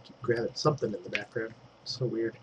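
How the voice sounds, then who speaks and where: A man's voice: lowish voice, fairly quick, highs cut off, noisy background. Congested man chatting through a painting tutorial. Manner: chatty, thinks aloud while working, trailing fillers. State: congested, clearing throat. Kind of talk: casual screen-recorded painting tutorial.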